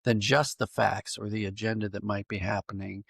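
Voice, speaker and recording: A man's voice: lowish voice, level tone, normal speed, vocal fry, fairly bright tone, husky, no room sound, studio quality. A man speaking as an interview guest, reflective and conversational, thinking aloud and correcting himself as he goes. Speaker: podcast guest, writer and dramatist.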